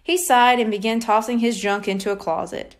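A woman reading a children's storybook aloud in an expressive narrating style. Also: a little high, fairly quick. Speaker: audiobook reader.

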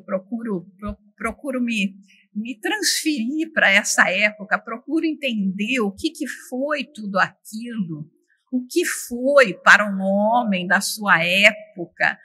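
A middle-aged woman giving a passionate, expressive lecture from a lectern in a large auditorium, often restarting her phrases. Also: mid-range voice. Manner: enthusiastic, expressive, with frequent restarts. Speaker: opening speaker at a spiritist congress. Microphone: lectern microphone.

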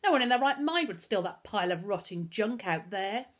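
A big fat childish man full of ghoulish appetite, performed by a woman. He blusters eagerly and excitably in a slightly rough voice, like a greedy villain in a children's audiobook. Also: medium pitch, fairly quick, lightly acted. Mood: mocking, coaxing. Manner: excitable, gleefully gruesome, blustering.

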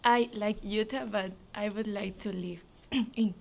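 Teen girl from spain, talks slow, soft, bit of hiss behind. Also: medium pitch, steady tone, creaky. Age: teens. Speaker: exchange student. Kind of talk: casual school TV interview.

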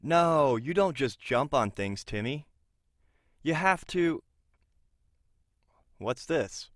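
A man playing a cautious, stern school counselor in an audio drama, measured and skeptical. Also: medium pitch, lively intonation, a bit bright, no echo, clean studio sound.

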